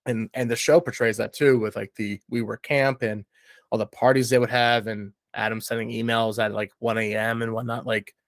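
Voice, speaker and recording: A man's voice: mid-range voice, flat delivery, moderate pace, dry recording, studio quality. A man in a casual, slangy podcast chat, rambling and blunt, with lots of filler words. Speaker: podcast guest reviewing a TV show.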